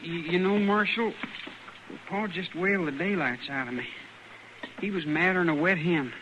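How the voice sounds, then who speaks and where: An earnest country boy of the Old West, nearly grown, acted by a man, speaks in a fairly high, hesitant rural Western drawl. He sounds plain and wistful, in a radio western with dull, old sound. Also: normal speed, natural voice. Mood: troubled. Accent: American rural Western. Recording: dull, lightly noisy old radio recording.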